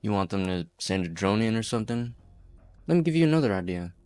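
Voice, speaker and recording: A man playing a calm, menacing leader of hostage takers in an amateur game fan dub, unhurried, folksy and coolly threatening. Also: on the low side, clean tone, no echo, close to an everyday voice.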